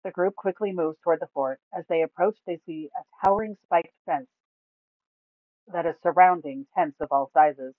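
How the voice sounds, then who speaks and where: A woman storyteller reading scripted fantasy narration aloud in a measured, dramatic way. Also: fairly low pitch, dry recording. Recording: narrow, phone-like sound.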